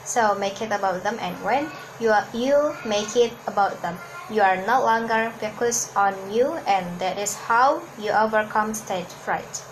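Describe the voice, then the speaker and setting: A woman's voice: medium pitch, a little slow, hardly any pauses, music in the background, low-quality recording. A woman from Hong Kong whose first language is Cantonese, giving an earnest talk on public speaking and often restarting her words. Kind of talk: instructional talk to camera.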